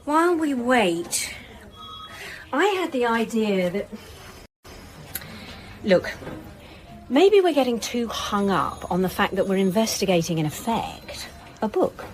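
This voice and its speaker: A woman playing a senior police inspector in a radio drama, brisk and sceptical, with a dry, authoritative way of talking. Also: medium pitch, lively intonation, soft background music, poor audio. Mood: dry, thoughtful. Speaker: actor in an audio drama. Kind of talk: naturalistic radio drama dialogue.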